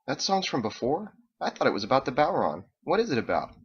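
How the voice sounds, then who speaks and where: A man's voice: mid-range voice, very animated, fast talker, husky, lo-fi sound, pitched above the performer's own voice. A man voicing a goofy, eager young male roommate in a novel, with a chatty, slangy manner. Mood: curious.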